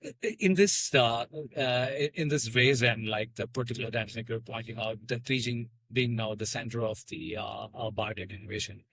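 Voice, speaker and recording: A male podcast host with a husky voice who feels his way through his questions, stopping and restarting. The sound is dry and close to the mic. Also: mid-range voice, fairly bright tone, no room sound, rough recording. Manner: halting questions with many restarts. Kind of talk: casual podcast interview.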